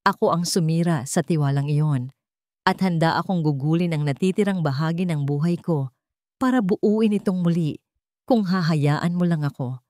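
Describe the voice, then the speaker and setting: A woman's voice: deep voice, moderate pace, a little mellow, dry recording, studio quality, lightly acted. A woman with a Filipino accent voicing a humbled young billionaire in a romance story, earnest and pleading. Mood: pleading devotion. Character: remorseful, humbled young billionaire man in love. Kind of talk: romance radio-drama story dialogue.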